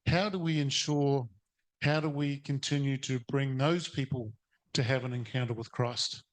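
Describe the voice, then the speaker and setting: A man's voice: mid-range voice, fairly even intonation, moderate pace. A man, a teacher asking a long, hesitant question at a conference, full of fillers and restarts. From New Zealand. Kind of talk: audience question at a seminar. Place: seated in a conference or webinar room.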